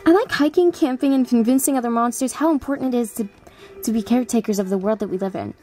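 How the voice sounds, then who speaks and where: A woman's voice: fairly high pitch, fast talker, warm tone, lightly acted. A woman voicing an earnest, upbeat, nature-loving teenage plant monster girl from a cartoon.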